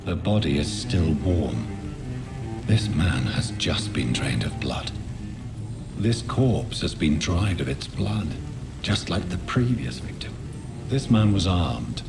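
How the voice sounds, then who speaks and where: An actor voicing a brooding, determined doctor turned vampire in a video game, with a terse, grave delivery. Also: male voice, low voice, sing-song, slow, full character voice. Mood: grave, musing. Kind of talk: scripted game dialogue and monologue. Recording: music under the voice.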